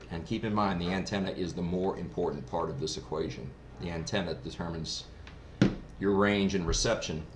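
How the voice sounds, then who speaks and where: A man giving a casual, unscripted gear review in a rambling, explanatory way, like a hobbyist who is new to it. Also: deep voice. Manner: rambling, explanatory, self-described novice. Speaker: product reviewer. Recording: roomy echo, clear background noise.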